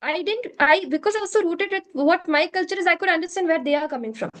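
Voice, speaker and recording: Indian woman, Hindi her first language, high-pitched, explains earnestly in an interview, restates each point. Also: fast, a bit bright. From South India. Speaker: curriculum developer, interview guest.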